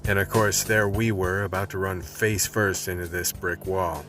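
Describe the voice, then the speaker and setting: An actor playing a world-weary undercover vice cop, telling his story in a measured, hard-boiled way for a radio play. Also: low-pitched, fairly even intonation, a bit bright, faint music behind. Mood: grim, measured. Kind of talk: scripted radio play narration.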